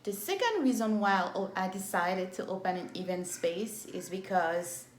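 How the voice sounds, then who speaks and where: Woman, 30s, Cameroonian French accent, talks slow and careful to camera, roomy phone sound with a faint hiss. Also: medium pitch, lively intonation. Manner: speaks slowly and deliberately, with restarts. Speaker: event planner and entrepreneur. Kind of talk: casual vlog talk to camera. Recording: echoey room on a phone.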